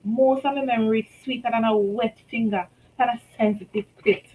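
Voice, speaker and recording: A Vincentian woman reading her own short story aloud, telling it in rhythmic Creole with lively shifts in loudness. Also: on the high side, brisk, a little hiss or hum, low-quality recording. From St. Vincent and the Grenadines.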